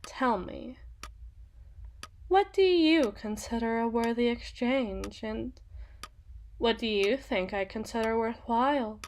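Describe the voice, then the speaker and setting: A woman's voice: a little high, slow, long pauses, a little hiss or hum. A woman playing a seductive, sadistic female demon in a teasing, condescending, theatrical ASMR roleplay monologue. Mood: haggling, taunting.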